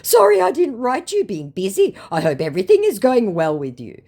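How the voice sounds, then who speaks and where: A woman voicing a smooth-talking male romance scammer with flattering, broken phrasing. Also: a little high, brisk, voice pushed up, heavily acted.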